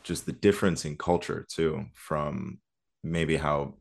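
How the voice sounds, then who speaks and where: A male podcast host with an extremely low, deep voice. He asks long, winding questions that stall and restart along the way. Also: level tone, measured pace, gravelly. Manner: rambling, hesitant questions full of fillers and restarts. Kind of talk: podcast interview.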